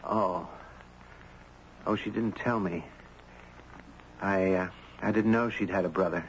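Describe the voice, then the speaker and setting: A man playing a courteous, curious storyteller, gentle, thoughtful and well-spoken, in a muffled, noisy 1970s radio drama. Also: low voice, fairly even intonation, slow pace. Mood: sympathetic. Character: courteous, curious man, narrating his own strange tale.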